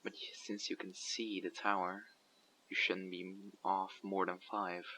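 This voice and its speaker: A man voicing a guarded survivor leader who recruits people for a community, asking measured, probing questions in an audio roleplay. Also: deep voice, level tone, sharp tone, a little hiss or hum, rough recording. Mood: calm, probing. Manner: measured, probing, matter-of-fact. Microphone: USB condenser microphone.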